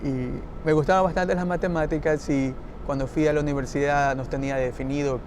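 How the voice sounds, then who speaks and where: A man's voice: a little high, steady tone, a little fast, a little hiss or hum. A man with an Ecuadorian Spanish accent, an engineer in a roundtable conversation, who talks with many restarts and laughs easily. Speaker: NASA engineer.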